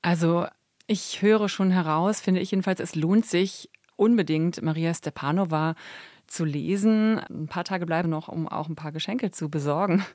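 A woman's voice: lowish voice, moderate pace, darkish tone, dry recording, studio quality. A woman presenting and interviewing on a radio culture programme.

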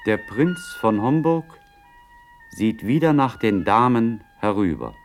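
An actor as the narrator of a radio drama, describing the action in deliberate, pointed scripted phrases. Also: male voice, medium pitch, very animated, soft background music. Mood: observing, deliberate. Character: observant narrator reading stage action aloud.

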